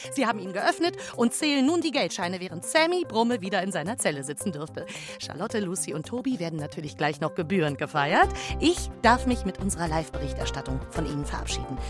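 Animated female radio reporter, played by an actress. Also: mid-range voice, natural voice. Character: excited female live radio reporter, earnest and admiring. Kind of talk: live event radio reporting in a children's drama.

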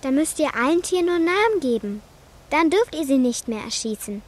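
Little girl in a kids radio play, high voice, blunt n stubborn, asks loads of questions. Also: expressive, moderate pace. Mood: curious. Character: small, defiant young daughter Sari, indignant and curious. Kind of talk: German children's radio play acting.

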